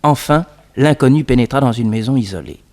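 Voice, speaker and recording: A man's voice: medium pitch, fairly quick, a little mellow. A man giving scripted narration for a 1960s French detective radio serial.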